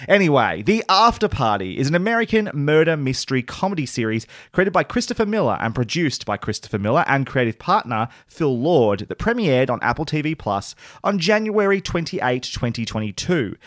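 A man hosting a casual TV review podcast, his voice croaky and worn from yelling. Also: a little high, sing-song, a little fast, no room sound, studio quality. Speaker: podcast host.

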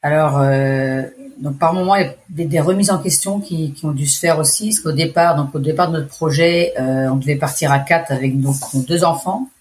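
A woman in her forties with an accent from France, telling her story in a casual interview, chatty and halting. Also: low-pitched, a little mellow. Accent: French from France. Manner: chatty, hesitant, restarts phrases. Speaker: expat interviewee.

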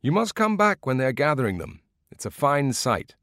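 A man's voice: medium pitch, big pitch swings, moderate pace, a bit bright, dry recording, studio quality, pitched above the performer's own voice. A man voicing a genial, playful Greek villager explaining country lore in a folksy audiobook story. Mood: explaining, keen.

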